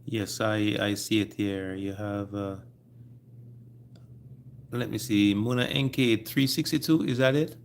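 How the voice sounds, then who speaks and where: Low-pitched gravelly Jamaican man. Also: fairly even intonation, slight background noise. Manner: halting, repeats phrases. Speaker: livestream host. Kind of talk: casual live-stream chat.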